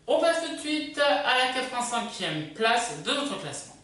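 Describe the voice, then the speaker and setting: A woman presents a countdown casually in a very roomy, slightly distant recording. Her voice is high and lively, and she speaks slowly with hesitation. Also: background noise. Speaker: video host. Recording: very echoey, distant from microphone.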